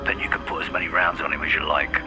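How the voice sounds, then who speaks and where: A man playing a patient, businesslike soldier in command in a video game, calm and terse. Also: very deep voice, fast, music in the background. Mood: focused, calm. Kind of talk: dramatic video game acting.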